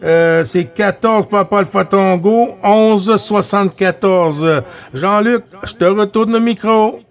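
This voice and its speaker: A man with a notably high voice and a Quebec French accent runs a CB radio round. He talks casually, often repeating himself as he hands over the microphone. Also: slow pace, clear, resonant tone. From Quebec, Canada. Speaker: CB radio operator running the round.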